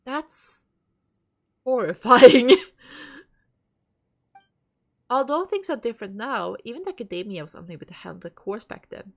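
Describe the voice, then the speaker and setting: A woman's voice: on the high side, animated, normal speed, pauses often. A woman with a stuffy nose streaming a game, reading its dialogue aloud and chatting casually with viewers, with the odd curse thrown in. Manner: reads lines aloud, swears and laughs between.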